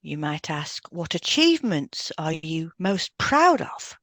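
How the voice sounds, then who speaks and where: A woman's voice: low-pitched, very animated, takes it slowly, a bit bright, no echo, clean studio sound. A woman reading a semi-scripted explanatory podcast as co-host.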